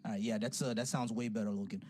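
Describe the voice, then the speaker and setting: A man's voice: on the low side, steady tone, quick pace. A man hosting a casual call-in debate stream, talkative and loose, laughing easily. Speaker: livestream host. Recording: noisy livestream audio.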